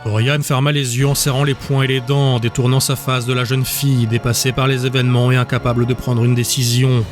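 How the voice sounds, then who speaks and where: A man's voice: medium pitch, flat delivery, brisk, over music. A man narrating a dramatic fantasy audiobook from a script.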